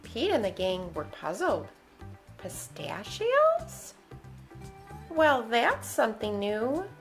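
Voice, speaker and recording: High, very lively woman reading a picture book. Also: measured pace, faint music behind. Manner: playful, exaggerated, sing-song refrains. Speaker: children's book reader. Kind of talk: animated picture-book read-aloud.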